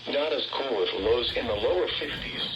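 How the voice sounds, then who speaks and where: A man's voice: mid-range voice, expressive, a little fast, highs cut off. A man reading a scripted weather forecast as an announcer, heard through a radio speaker. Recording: noisy radio speaker in echoey room.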